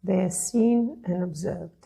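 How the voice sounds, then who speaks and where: Slow, husky woman guiding meditation. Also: on the low side. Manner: soothing, gentle, with long pauses. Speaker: meditation guide. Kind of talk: guided meditation, calm instruction.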